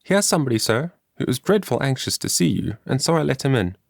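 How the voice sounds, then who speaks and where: A man's voice: mid-range voice, very animated, quick pace, creaky, no echo, clean studio sound, voice pushed up. Peevish young servant girl, played by a man, brusque, dismissive storybook character. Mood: grudging. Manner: brusque, grudging.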